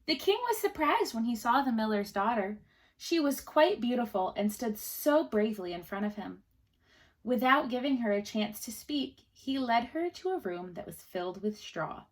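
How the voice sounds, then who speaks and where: A woman teacher reading a storybook aloud to children, pausing to explain things simply and ask questions. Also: fairly high pitch, animated.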